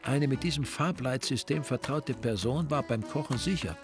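A man narrating a crime story in scripted literary prose with dry, deadpan irony. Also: mid-range voice, normal speed, natural voice. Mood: deadpan, wry. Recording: music behind the voice.

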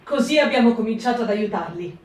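A woman's voice: on the high side, expressive, a little fast, darkish tone, slight background noise, close to an everyday voice. A woman voicing a frightened wartime woman helping partisans, in a reflective, anxious monologue. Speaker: actor in a radio reading. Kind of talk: stage reading for radio. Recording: echoey room, distant mic.

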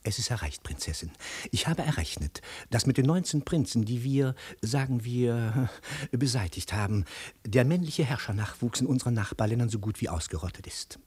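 An actor playing an ambitious, calculating imperial chancellor who fawns and insinuates in measured speech, in 1950s radio drama style. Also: male voice, mid-range voice, lively intonation, darkish tone, breathy edge. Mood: smug, conspiratorial. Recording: 1950s radio studio recording.

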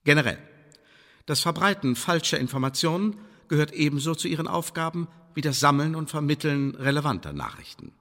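An actor playing a shrewd Elizabethan spymaster in a radio play, instructing an agent in a formal, emphatic manner. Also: male voice, a little high, big pitch swings, a little fast, bright, forceful tone, heavily acted. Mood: instructive, emphatic. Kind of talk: German radio play acting. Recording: long reverberant hall echo.

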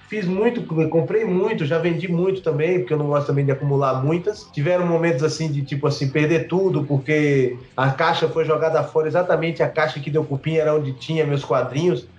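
A man's voice: a little high, a little mellow. A man, casually reminiscing as an interview guest, chatty and repeating words. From Salvador, Brazil. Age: 50s. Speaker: interview guest, comics artist. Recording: dull, echoey room sound.

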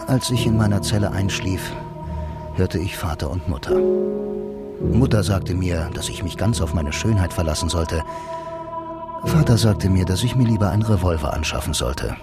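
Actor as deep-voiced brooding blind noir narrator. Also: male voice, moderate pace, loud music behind the voice, natural voice. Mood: brooding, reflective. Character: blind male bank employee, lonely noir narrator accused of murder. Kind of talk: radio drama first-person noir narration.

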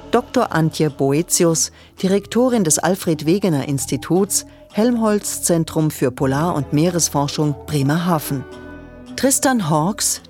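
A woman announcer with a fairly low voice reads radio drama credits. She takes her time over each name and title, formal and measured, with faint music behind her. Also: darkish tone.

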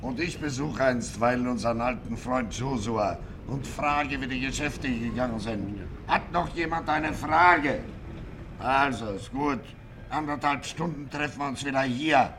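An actor playing a bossy, sardonic gangster boss who has escaped from prison, in a 1950s satirical radio play. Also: male voice, medium pitch, measured pace, a bit bright, lightly acted. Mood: commanding. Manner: commanding, grumbling, mocking. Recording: noisy vintage 1950s radio recording.

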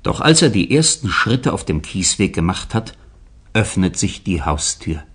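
Deep husky male narrator. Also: sing-song, a little slow, fairly bright tone. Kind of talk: scripted radio drama narration.

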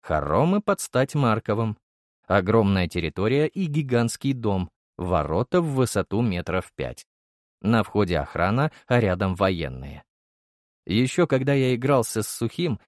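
A man's voice: on the low side, a little mellow, no echo, studio quality. A man reading first-person fiction as a scripted audiobook narration.

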